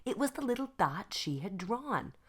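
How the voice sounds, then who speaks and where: A woman reading a children's storybook aloud in an expressive, deliberate style, like an art teacher to pupils. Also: medium pitch, slightly rough.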